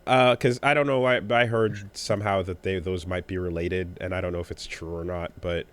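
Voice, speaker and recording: Very deep-voiced man, halting speech. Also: fairly even intonation. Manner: rambling, halting, with many restarts and fillers. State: winded after illness. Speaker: podcast co-host. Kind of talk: casual chat.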